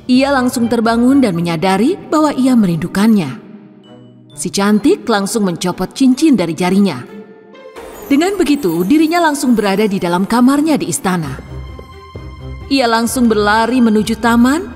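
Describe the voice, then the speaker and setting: Lively, higher-voiced woman storyteller in reverb. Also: moderate pace, faint music behind. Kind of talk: scripted fairy-tale narration for children.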